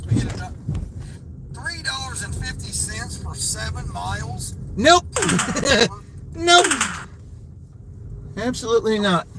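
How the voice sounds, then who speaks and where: High-voiced chatty man livestreaming from his car. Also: animated, slow, long pauses. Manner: chatty, rambling, laughs often. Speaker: delivery driver livestreaming. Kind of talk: casual livestream chat, reading viewer comments aloud. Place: parked car. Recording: car ambience hum behind voice.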